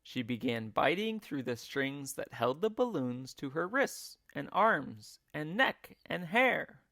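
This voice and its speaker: Male storybook narrator, teacherly and theatrical. Unhurried delivery, very lively intonation. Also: a little high, creaky, no room sound, clean studio sound. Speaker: teacher reading a children's book aloud.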